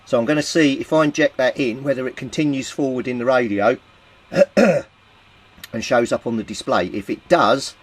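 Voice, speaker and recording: A man's voice: mid-range voice, normal speed. A man from Britain walking through a radio repair step by step in a plain, methodical way, thinking aloud with small fillers. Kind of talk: technical repair walkthrough.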